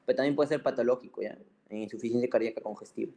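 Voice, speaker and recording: Mellow man teaching informally. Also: mid-range voice, rapid-fire, creaky. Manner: didactic, colloquial, with frequent check-in tags and repetition. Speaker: medical physiology teacher. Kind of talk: informal explanatory lecture.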